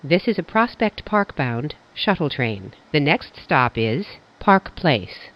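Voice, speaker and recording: A transit announcer reading scripted train announcements in a formal, measured way. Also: medium pitch, very animated. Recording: dull, noisy train announcement recording.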